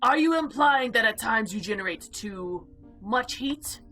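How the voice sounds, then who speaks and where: A haughty, predatory monster noblewoman from a visual novel, acted out by a woman. Her voice is fairly high and bright, with formal, imperious phrasing that treats humans as lesser things. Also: animated, measured pace, faint music behind. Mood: curious. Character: haughty, predatory female eldritch monster diner.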